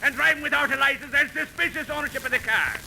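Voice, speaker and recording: An actor playing a blustering, bullying Irish-American traffic cop, barking bossy streetwise slang in old radio comedy style. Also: male voice, extremely high pitch, quick pace, creaky, bright, forceful tone, full character voice. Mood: officious, angry. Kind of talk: 1930s-40s radio comedy acting. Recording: noisy old radio broadcast recording.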